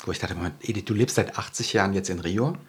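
A man answering interview questions in a thoughtful way, often pausing and searching for words. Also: low voice, breathy edge. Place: Berlin.

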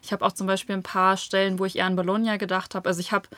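A young woman from Germany, a novelist guest, talking thoughtfully in an interview with searching pauses and fillers. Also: medium pitch, flat delivery, fairly bright tone, no room sound. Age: young adult. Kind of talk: reflective interview talk.

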